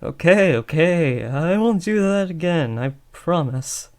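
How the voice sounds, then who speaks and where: A man's voice: fairly high pitch, expressive, slow. A young man acting an awkward, earnest teenage boy who is trying to be a supervillain, hesitant and often correcting himself, in a comedic audio roleplay. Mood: flustered pleading.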